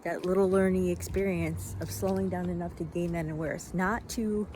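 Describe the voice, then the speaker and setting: Reflective woman, halting phrases, faint background noise. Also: on the low side, steady tone, a little mellow. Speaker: vlogger giving self-help reflections. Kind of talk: unscripted motivational monologue. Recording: noisy, vehicle-like ambient sound.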